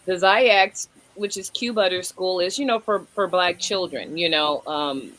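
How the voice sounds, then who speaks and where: A woman's voice: fairly low pitch, unhurried, fairly bright tone, low-quality recording. A woman with a Brooklyn accent co-hosting a casual livestream chat, rambling and filling nearly every phrase with "you know". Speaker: livestream co-host.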